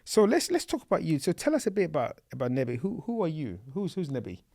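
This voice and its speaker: A man's voice: fairly high pitch, huge pitch swings, fast, gravelly, dark tone, no room sound. A man hosting a casual property podcast interview in a studio, friendly and bantering, often repeating words as he speaks. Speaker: podcast host.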